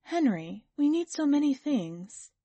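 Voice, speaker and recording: Audiobook wife, voiced by a woman narrator: higher-pitched, put-upon, earnest, pleading, recorded close and dry. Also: big pitch swings, a little slow, mellow, no echo, exaggerated voice. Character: put-upon pregnant young wife, pleading, rising to anger. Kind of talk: dramatic audiobook character reading.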